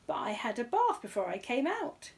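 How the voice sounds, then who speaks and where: A woman voicing a polite, earnest young male bear in a children's story, speaking deliberately. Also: a little high, sing-song, a little mellow, voice pushed up. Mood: puzzled, upset.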